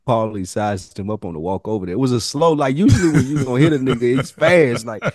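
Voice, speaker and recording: A man's voice: mid-range voice, animated, quick pace, a little mellow. A man co-hosting a podcast, chatting casually and informally in slang about a TV show. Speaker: podcast co-host. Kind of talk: casual chat reviewing a TV series.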